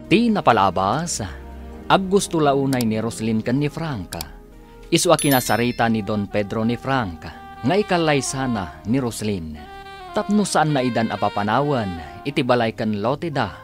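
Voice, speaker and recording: Ilocano guy announcing a radio drama serial, really animated, music underneath. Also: mid-range voice, moderate pace. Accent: Filipino (Ilocano). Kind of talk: scripted radio drama narration.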